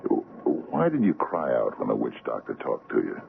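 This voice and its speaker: A gruff, grateful sea captain recovering in a radio play. His voice is extremely deep and heavily creaky. It has the boxy, narrow sound of old radio. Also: huge pitch swings, normal speed, full character voice. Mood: tender, weary. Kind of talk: 1970s radio drama acting. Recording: tinny vintage radio with background noise.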